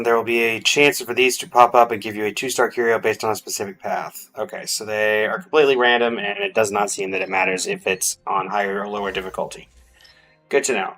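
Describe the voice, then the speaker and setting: A man's voice: mid-range voice, a bit bright, rough recording. A man giving casual gameplay commentary as a streamer, thinking aloud as he goes. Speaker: game streamer.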